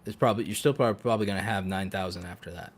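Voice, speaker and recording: A man's voice: sits low, very fast. A man from North Carolina co-hosting a podcast in casual group chat, explaining and repeating himself for emphasis. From North Carolina, United States. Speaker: podcast co-host.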